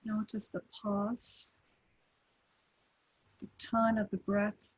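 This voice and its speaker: Female meditation guide, airy breathy tone, unhurried delivery with long pauses. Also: medium pitch, low-quality recording. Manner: slow, calm, gentle instructions with long pauses. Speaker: meditation teacher.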